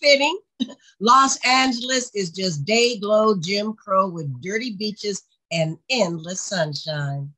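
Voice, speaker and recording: An older woman playing a wry, guarded veteran journalist with a dry, measured manner, in a play reading. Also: medium pitch, sharp tone. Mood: polite, wry. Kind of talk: staged play reading over video call. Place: indoors, on a video call. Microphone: video call microphone.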